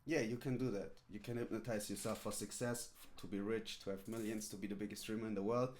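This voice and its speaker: A man's voice: on the low side, steady tone, fast talker, darkish tone. Rambling streamer man. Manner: rambling, filler-heavy, repeats "right?" and "literally". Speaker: streamer coaching a viewer. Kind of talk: casual live-stream chat.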